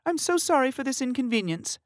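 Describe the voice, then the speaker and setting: A woman putting on a restaurant manager, high and raised, urgent yet apologetic. Dry, close sound. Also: sing-song, a little fast, no echo.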